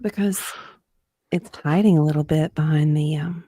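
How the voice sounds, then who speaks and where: A woman's voice: sits low, moderate pace, dark tone, slightly rough, no echo, clean studio sound. A woman guest reading a witness's part in a deposition, with casual banter, some hesitation and giggles. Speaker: guest co-reader.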